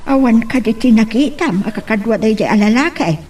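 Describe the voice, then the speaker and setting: A woman's voice: a little high, animated, brisk, darkish tone, slightly rough, distant mic. A woman with a Filipino accent playing a concerned, inquisitive questioner in an audio drama. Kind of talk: Filipino radio-style drama acting.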